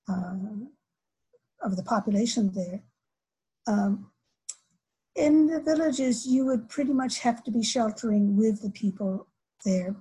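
Breathy, halting older woman scholar. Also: on the high side, mellow, poor audio. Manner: halting, many restarts and fillers. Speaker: scholar being interviewed. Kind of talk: academic interview.

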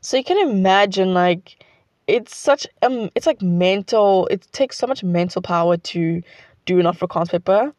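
A woman's voice: mid-range voice, normal speed, darkish tone, no room sound. A teenage girl from South Africa with a South African accent, Xhosa her first language, chatting casually in a rambling vlog full of "like". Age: teens. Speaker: student vlogger.

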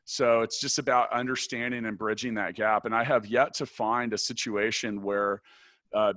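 A man with a big, deep voice answering interview questions thoughtfully, restarting his sentences often. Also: a bit bright, no room sound. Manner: thoughtful, many restarts and fillers. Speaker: podcast guest, business founder.

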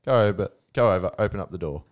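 A man's voice: sits low, expressive, quick pace. An Australian man on a podcast panel, joking along in casual banter.

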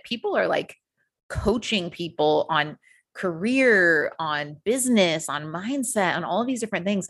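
Low gravelly American woman coach. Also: dry recording. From United States. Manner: energetic storyteller, swears casually, many fillers. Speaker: coach, podcast guest. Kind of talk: casual podcast interview.

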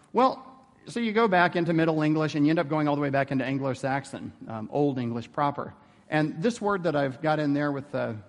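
A man's voice: medium pitch, long reverb tail. A man giving an informal theology lecture in a conversational way, often checking in with rhetorical questions.

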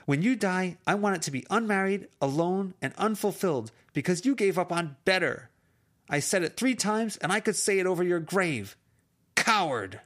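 A man's voice: sits high, lively intonation, moderate pace, a bit bright, voice pushed up. A man reading an amateur audiobook, voicing a furious teenage girl who hurls bitter, cutting insults. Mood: screaming rage.

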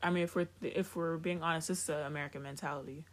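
A woman's voice: low voice, steady tone, fast talker. A woman from Africa co-hosting a podcast, chatting candidly, laughing often and drifting off topic. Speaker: podcast co-host. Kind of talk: casual chat.

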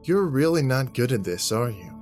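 A man's voice: medium pitch, expressive, measured pace, faint music behind, voice pushed up, lightly acted. A man voicing a cocky, sarcastic young American fighter who taunts his opponent, in an audiobook. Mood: mocking.

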